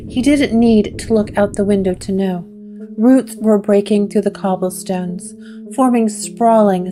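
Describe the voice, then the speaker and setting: Woman, measured dramatic narrator, mid pitch, over guitar music. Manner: slow, hushed, dramatic storytelling. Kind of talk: scripted gothic drama narration.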